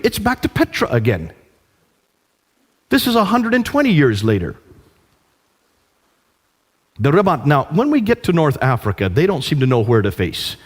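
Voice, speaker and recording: A man giving an illustrated lecture, speaking in an emphatic, declarative way and driving key points home by repetition. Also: medium pitch. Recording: reverberant hall.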